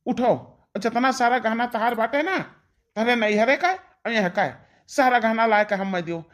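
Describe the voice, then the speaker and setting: A woman's voice: a little high, normal speed, close to an everyday voice. A woman voicing an angry, bullying village husband in a cartoon, with an Awadhi accent and a curt, threatening manner. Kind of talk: melodramatic cartoon dialogue.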